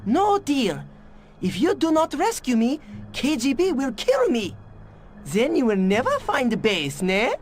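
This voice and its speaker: Game scientist: Japanese accent, highish lively voice, eccentric, dryly formal, clipped grammar. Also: female voice, unhurried, heavily acted. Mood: sly, insistent. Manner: stilted formal phrasing with dropped articles. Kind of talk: video game cutscene voice acting.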